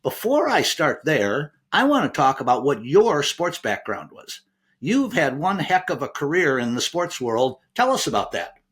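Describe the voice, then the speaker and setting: Lively, animated man hosting a podcast. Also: a little high, moderate pace. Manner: enthusiastic, restarts sentences. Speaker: podcast host. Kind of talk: podcast hosting, asking viewers to subscribe.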